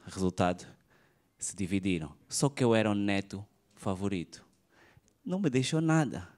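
A comedian delivers dry, deadpan jokes into a handheld mic on a club stage. His voice sits a little low. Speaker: stand-up comedian. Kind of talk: stand-up routine.

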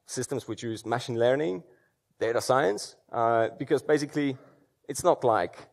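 Man, thirties, relaxed stage presenter who talks through restarts. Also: medium pitch, normal speed, creaky. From Poland. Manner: conversational, many fillers and restarts. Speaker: security conference speaker. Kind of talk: conference talk. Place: large dark auditorium stage.

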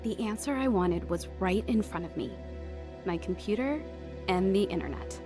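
A woman acts a young woman looking back on secretive, troubled teen years. It is scripted audio drama narration in a medium-pitched voice, with clear music behind. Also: animated, normal speed, close to an everyday voice. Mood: reflective. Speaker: narrator and lead actress.

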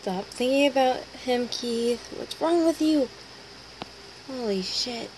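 A woman with a high voice reads dramatic comic dialogue as an amateur dub. She takes her lines slowly. The home recording carries some faint noise. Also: darkish tone, rough recording.

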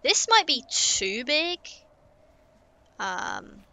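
A woman's voice: high-pitched, very animated, extremely slow, gravelly, pushed and bright. A young woman, chatting casually to herself while playing a building game on stream. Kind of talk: casual gameplay commentary.

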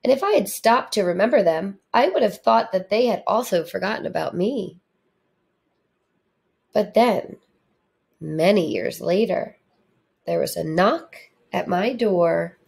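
A woman reading a storybook aloud for children in a deliberate, expressive manner. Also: mid-range voice, gravelly. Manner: deliberate, animated delivery. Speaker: storybook reader, teacher.

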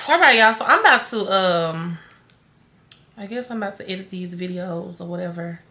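A woman's voice: fairly low pitch, normal speed, sharp tone. A young woman, chatting casually to the camera at home at night, tired and rambling, often trailing off to herself. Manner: tired, rambling, talks to camera and her dog. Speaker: vlogger. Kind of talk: casual vlog chat.

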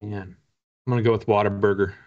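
A man in his forties with a fairly low voice talks casually in an interview. He rambles in a self-deprecating way as he explains things. The call audio is a little dull and lo-fi. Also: little pitch movement, quick pace, a little mellow. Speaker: guest author. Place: home room with bookshelves, video call.

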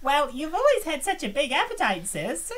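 A woman's voice: high voice, huge pitch swings, normal speed, a bit bright, exaggerated voice. A woman playing a teasing, doting young sister who coos and coaxes smugly in a comic dub. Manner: coaxing, cooing, smug.

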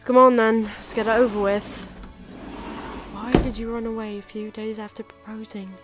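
A woman's voice: fairly high pitch, flat delivery, moderate pace, over loud music, natural voice. A woman acting a sarcastic, hurt librarian in an audio drama. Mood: dry, terse. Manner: sarcastic, blunt. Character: sharp, hurt older woman librarian, former psychiatrist.

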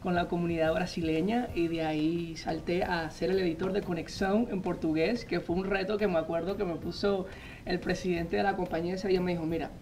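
A man, a magazine editor telling his story as a guest in a TV studio interview, animated and full of "eh" fillers, speaking into a lapel mic. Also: sits high, a little fast, a little hiss or hum, low-quality recording. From Colombia. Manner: animated storytelling, frequent "eh" fillers, gestures while talking. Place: TV news studio set.

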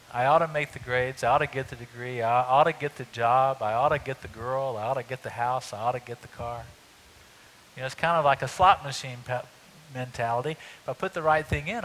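Middle-aged pastor preaching conversationally. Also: male voice, medium pitch, low-quality recording. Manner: conversational preaching with lively emphasis. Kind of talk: sermon. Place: church stage. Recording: faint hiss.

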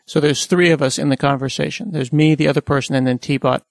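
A man's voice: on the high side, fairly even intonation, fast talker, gravelly, dry recording. A man hosting a podcast interview, chatting casually and telling anecdotes between questions. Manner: conversational, storytelling asides. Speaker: podcast host.

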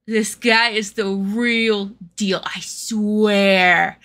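A woman's voice: mid-range voice, steady tone, extremely slow, a bit bright, voice pushed down. A woman voicing a chummy, laid-back young bro hustler with dude slang, for a fantasy game demo. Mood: chill, persuasive.

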